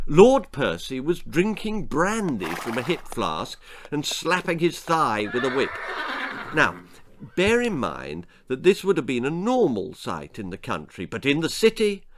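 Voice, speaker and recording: A man with an English accent reading a children's story aloud in an expressive, theatrical and deliberate way. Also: fairly high pitch, a little hiss or hum. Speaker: author reading his own story.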